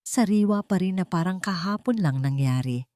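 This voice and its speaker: A woman's voice: on the low side, lively intonation, normal speed, warm tone. A woman reading a scripted dramatic story as an audiobook narrator.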